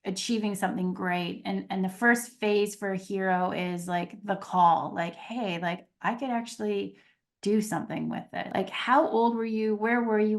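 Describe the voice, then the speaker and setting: A woman's voice: medium pitch, creaky. A woman with a mid-range voice interviews a guest over a video call. She asks questions in a searching, curious way and fills her sentences with "like". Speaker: host, leadership coach. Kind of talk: webinar interview.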